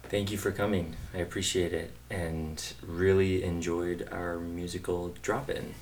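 A man's voice: sits low, flat delivery, a little slow, a little hiss or hum. A man hosting a casual interview, easygoing and hesitant, with many fillers. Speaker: podcast host.

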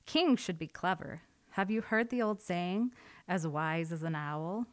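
A woman's voice: on the low side, expressive, a little slow. A woman voicing a wise, self-important owl in a storybook tale, with a hooting, lofty manner.

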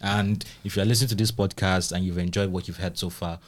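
A man hosts a casual podcast in an easygoing way. His voice sits very low for a man. He moves through plugs and sign-offs in a relaxed tone. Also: quick pace. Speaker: podcast host.

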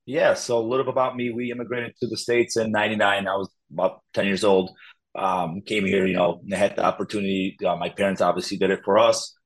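A man's voice: lowish voice, flat delivery, fast, poor audio. A man in his thirties from Poland, a guest talking casually with easy laughs and many fillers. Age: 30s. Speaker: guest, public adjuster. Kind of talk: casual interview talk.

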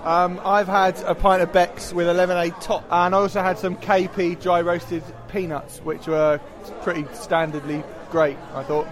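A man with a distinctly high voice chats casually as a guest reviewer. A noisy pub crowd is audible behind him. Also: level tone, normal speed, few pauses.

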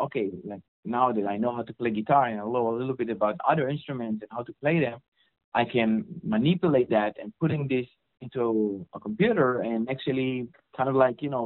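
A man's voice: medium pitch, a little fast, no room sound. Israeli-accented man, phone-like dry audio. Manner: rambling, many fillers and restarts. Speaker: guest, mixing engineer. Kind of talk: casual interview.

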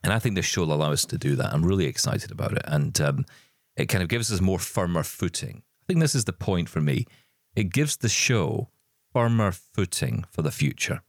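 A man's voice: sits low, very animated, brisk, no room sound, studio quality. A man co-hosting a podcast, chatting in an upbeat, rambling way. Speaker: podcast co-host. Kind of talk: casual podcast chat.

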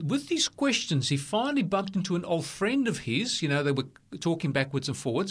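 Man, radio host, chatty, fairly high voice. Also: a bit bright. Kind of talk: radio interview. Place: radio studio.

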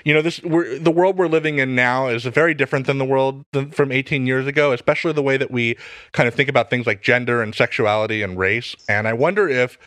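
Male interviewer, mid-pitched, conversational and wordy, recorded very dry with no room sound. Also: steady tone, fast talker, fairly bright tone, no room sound. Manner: chatty, long-winded questions.

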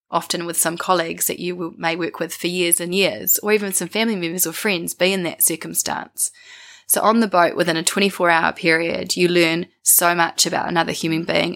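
A young New Zealand woman talks reflectively as an interview guest. Her voice is fairly low, croaky with a winter bug and full of fry, yet forward and bright. She picks her words thoughtfully.

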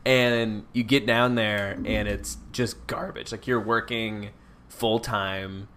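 A man's voice: fairly low pitch, fairly even intonation, slow pace, a bit bright, a little hiss or hum. A man co-hosting a podcast in casual chat, telling rambling stories with a slightly stuffy nose. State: a little stuffy. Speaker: podcast co-host.

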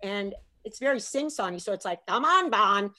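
A middle-aged woman, an author, talking in a lively, chatty way and slipping into bits of Italian. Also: mid-range voice, normal speed. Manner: animated, chatty, slips into Italian phrases. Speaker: author guest. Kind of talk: casual video chat.

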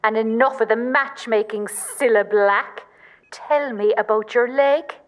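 A woman's voice: fairly high pitch, unhurried, natural voice. A woman playing a dramatic, exasperated but loving Irish daughter with a Cork accent in an amateur radio play. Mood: exasperated, anxious. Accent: Irish, County Cork.

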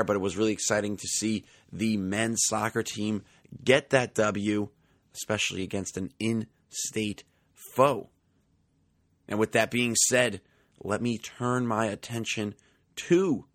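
Chatty man, slightly raspy. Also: on the low side, dry recording, studio quality. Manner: chatty, conversational, many fillers. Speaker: podcast host. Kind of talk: casual sports podcast monologue.

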